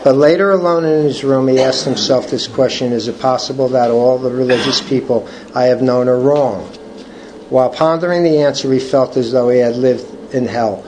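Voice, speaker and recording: An older man leading a recovery study group, reading from the book and sharing personal testimony in a halting, earnest way with many restarts. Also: mid-range voice, a little mellow, slight background noise, poor audio. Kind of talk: casual talk reading from a book.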